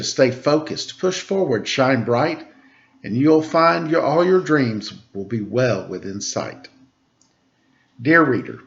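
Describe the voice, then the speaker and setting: A man teaching children, reading a rhyming picture book aloud with a steady rhythm, then chatting casually about it. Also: mid-range voice, vocal fry, highs cut off. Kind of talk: storybook read-aloud for children.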